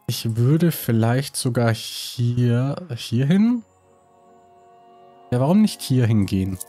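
A man's voice: medium pitch, lively intonation, slow pace, mellow, faint music behind. A man with a cold, casually commenting on his building game while thinking aloud with many hesitations. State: has a cold. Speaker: let's play host.

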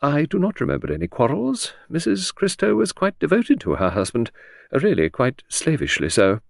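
A man's voice: mid-range voice, big pitch swings, moderate pace, no echo, studio quality, pitched above the performer's own voice. A man voicing a cool, brusque young woman secretary in an audiobook, with precise, faintly scornful speech. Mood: cool contempt. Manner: crisp, precise, prompt, scornful. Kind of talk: audiobook character reading.

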